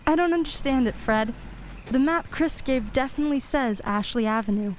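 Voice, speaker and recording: A woman's voice: high voice, animated, normal speed, slight background noise. A woman playing an earnest, tender mother in a radio play. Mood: puzzled, alarmed.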